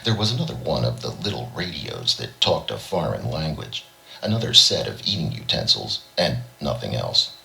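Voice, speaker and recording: A man's voice: a bass voice, sing-song, normal speed, vocal fry, bright, forceful tone, faint music behind, low-quality recording. A man reading a scripted short story as an audiobook narrator. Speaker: audiobook reader.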